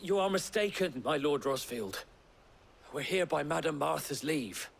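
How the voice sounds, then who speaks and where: Game guardsman: dutiful and formal, raspy edge, voice a touch high. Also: expressive, a little slow, exaggerated voice. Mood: respectful. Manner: formal, deferential to his lord. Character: loyal, respectful soldier of a guard order. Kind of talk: dramatic cutscene acting.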